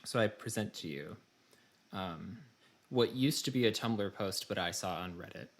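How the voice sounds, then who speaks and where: A man's voice: fairly low pitch, normal speed. Man co-hosting a casual podcast. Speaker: podcast co-host.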